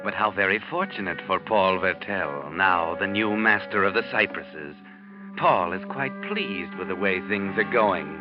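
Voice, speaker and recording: Low, sardonic mystery narrator. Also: male voice, a little slow. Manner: sardonic, insinuating. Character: sardonic, sinister all-knowing mystery narrator. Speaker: host and narrator. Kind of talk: 1940s radio scripted narration. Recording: muffled 1940s radio recording. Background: music.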